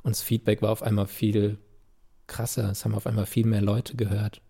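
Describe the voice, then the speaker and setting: A man, a musician, talking candidly and reflectively about his career in a relaxed, slangy interview. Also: lowish voice, a little fast, vocal fry, slightly rough. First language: German. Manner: laid-back, reflective, slangy. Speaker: musician guest. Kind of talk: candid casual interview talk.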